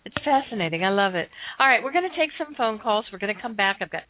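A woman hosting a live call-in radio show, upbeat and brisk as she moves the conversation along. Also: medium pitch, sing-song, slight background noise. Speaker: radio host. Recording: dry, narrow phone-like broadcast sound.

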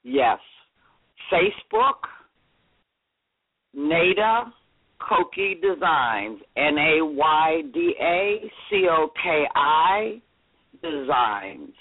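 A woman in her seventies from Connecticut, telling stories as a guest in a radio interview in a chatty, lively way. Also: sits low, extremely slow, long pauses, husky, no echo. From Connecticut, USA. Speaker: fashion designer guest. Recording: dry, tinny phone-like radio sound.

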